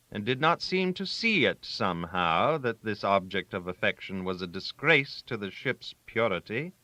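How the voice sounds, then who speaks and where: A man narrating a literary story aloud, with a theatrical, wry manner and deliberate emphasis on key words. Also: mid-range voice, sharp tone, no echo. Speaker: audiobook narrator.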